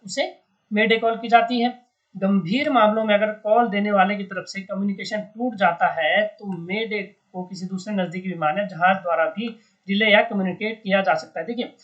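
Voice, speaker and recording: An educator giving an informal explainer on the news in a didactic way, repeating points and often adding little check-in tags. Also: mid-range voice. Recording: dull lo-fi sound.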